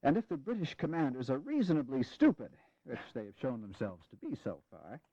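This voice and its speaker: An actor playing a grave, courteous American Revolutionary general in an audio drama, speaking in a measured, formal way. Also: male voice, medium pitch, big pitch swings, dark tone, no room sound. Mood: grave, resolute. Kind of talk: dramatized history audio acting. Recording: dull, lo-fi 1980s audio drama.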